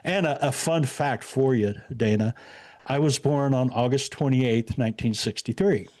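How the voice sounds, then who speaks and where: A man's voice: mid-range voice, normal speed, darkish tone. A man in his sixties, a retired guest, talking about himself in an easygoing, rambling way with lots of fillers. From Indiana. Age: 60s. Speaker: podcast guest. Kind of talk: casual interview chat.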